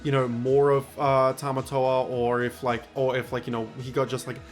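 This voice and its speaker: Hoarse man hosting a casual chat. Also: mid-range voice, monotone, normal speed, soft background music. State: hoarse, losing his voice. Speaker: host.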